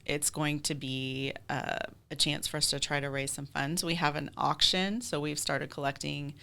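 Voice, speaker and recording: A woman with an American accent and a low, bright voice talks as an interview guest. Her delivery is clear, with some gravelly creak, and she explains things in an informal, chatty way. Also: steady tone, moderate pace. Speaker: guest, theater director. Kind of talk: casual interview chat.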